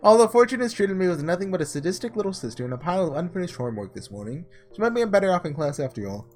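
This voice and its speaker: A man doing a casual let's play, reading story text aloud and breaking off into excitable asides, with game music behind him. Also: high-pitched, rapid-fire. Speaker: gaming video creator doing a blind playthrough.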